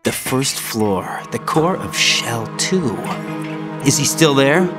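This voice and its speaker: Young game soldier: earnest, inquisitive, unsure, mid-pitched, music underneath. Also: male voice, expressive, slow. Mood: puzzled, earnest. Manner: inquisitive, uncertain. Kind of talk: video game cutscene acting.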